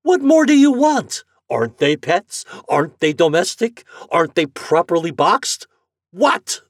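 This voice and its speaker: A man voicing an enraged, sarcastic male customer who shouts his arguments. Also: sits high, big pitch swings, a little slow, no room sound, studio quality, pitched above the performer's own voice, heavily acted. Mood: furious.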